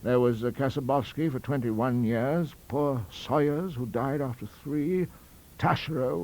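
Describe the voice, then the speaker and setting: A man performs a delicate, fussy college master from a ghost story. The voice sits high and moves freely up and down. He rambles hesitantly, with a complacent, donnish air. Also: darkish tone.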